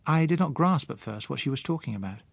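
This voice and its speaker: Animated male audiobook narrator, dry sound. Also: mid-range voice, fast talker, creaky, no room sound. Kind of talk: scripted first-person novel narration.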